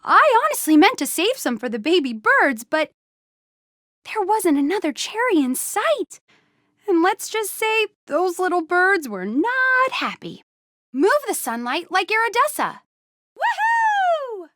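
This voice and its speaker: A woman's voice: very high-pitched, huge pitch swings, measured pace, fairly bright tone, dry recording, clean studio sound, heavily acted. A woman voicing a playful, exuberant young animal fairy for a video game, encouraging and eager to tell stories. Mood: cheerful, encouraging, then excited. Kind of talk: animated video game character dialogue, storytelling and instructions.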